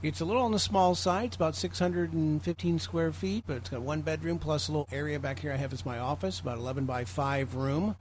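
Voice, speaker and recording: A man's voice: on the high side, brisk, few pauses, a little mellow, poor audio. American man chatting, faint background noise. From Illinois, United States. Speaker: guest on a group call. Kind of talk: casual explanatory chat. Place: small home office.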